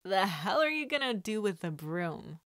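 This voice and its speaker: A woman's voice: medium pitch, sing-song, normal speed, a bit bright, dry recording, clean studio sound. Woman putting on a sneering male kidnapper villain.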